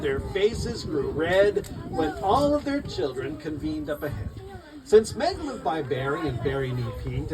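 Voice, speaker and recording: A man reading his picture book aloud at a live event, expressive and rhythmic in the rhymes, with informal asides to listeners. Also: on the high side. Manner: expressive, rhythmic storytelling of rhyming verse. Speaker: children's book author reading his own book. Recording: distant mic, noisy live room.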